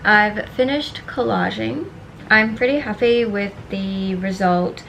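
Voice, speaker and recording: A young woman from Singapore with a strong Australian accent, narrating her vlog in a relaxed, chatty way full of "like". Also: medium pitch, takes it slowly, gravelly, a little hiss or hum, poor audio. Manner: relaxed, chatty, many "like" fillers. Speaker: vlogger. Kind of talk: casual voice-over narration.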